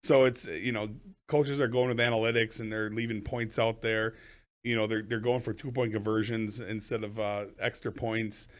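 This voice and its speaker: A man co-hosting a sports betting podcast with a voice a bit off from a lingering cough, talking plainly with many pauses. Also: fairly low pitch, little pitch movement. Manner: plainspoken, hesitant fillers. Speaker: podcast co-host. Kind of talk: casual sports betting chat.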